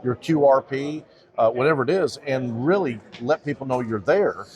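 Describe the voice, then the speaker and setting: A man's voice: mid-range voice, expressive, normal speed, darkish tone. A man speaking casually and conversationally as an interviewer. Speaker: interviewer and channel host.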